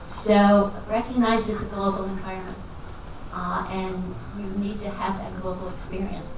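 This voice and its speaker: A woman from Texas speaks as a guest finance professor at an evening lecture, folksy and quick to laugh at herself. Her voice comes from far off in a reverberant hall, with steady noise under it. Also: medium pitch. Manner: folksy and self-deprecating, jokes and laughs as she talks. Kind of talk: answering questions at a lecture.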